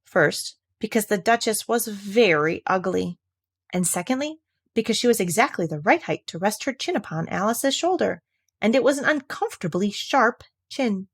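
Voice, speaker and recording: A woman reads scripted storybook narration in an audiobook style. Her pitch sits in the middle, and her voice has a noticeable creak. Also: animated, normal speed. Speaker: audiobook reader.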